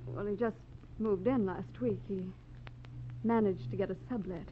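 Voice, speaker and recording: An actress playing a mysterious, wistful married woman in 1940s radio style, reserved and deliberate. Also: mid-range voice, steady tone, close to an everyday voice. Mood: guarded. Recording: tinny, noisy old radio recording.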